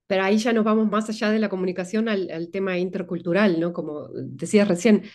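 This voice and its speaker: A woman's voice: mid-range voice, fast talker, darkish tone. A woman, an invited speaker from Latin America, answering audience questions and thinking aloud with many restarts. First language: Spanish.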